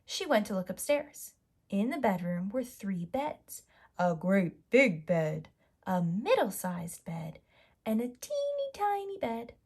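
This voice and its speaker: A woman telling a story to preschoolers in an expressive, emphatic way. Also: medium pitch, slightly rough. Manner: emphatic, draws out size words. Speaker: children's storyteller.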